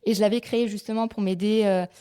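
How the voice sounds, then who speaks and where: A woman's voice: medium pitch, fairly even intonation, quick pace, warm tone, clear, resonant tone. Young woman guest, friendly and open. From France. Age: 20s. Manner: open and friendly, with frequent filler hesitations. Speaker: guest, physiotherapist. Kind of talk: casual interview chat.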